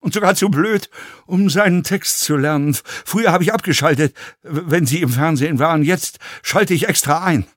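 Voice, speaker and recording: A man's voice: on the high side, moderate pace, fairly bright tone, dry recording, clean studio sound, voice pushed up. A man voicing an intrusive, chatty male passenger in a story, rambling with hesitations and needling insults. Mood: scornful.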